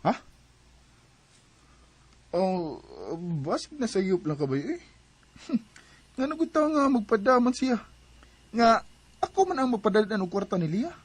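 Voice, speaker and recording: Husky young Filipino boyfriend. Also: male voice, sits high, very animated, unhurried, long pauses, dry recording, heavily acted. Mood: worried, disbelieving. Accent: Filipino, Cebuano. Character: young live-in boyfriend, easygoing turning suspicious. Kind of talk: radio drama acting.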